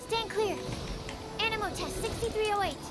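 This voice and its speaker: A woman voicing a tiny, excitable childlike companion girl with a squeaky voice in an anime fantasy game, with music behind. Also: normal speed. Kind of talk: anime video game acting.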